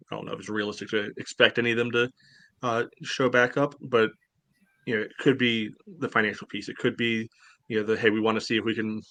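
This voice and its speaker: Man, low bright voice, flat delivery, dry sound, sports podcast. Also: no echo. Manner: even-keeled, reasonable. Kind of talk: casual sports chat.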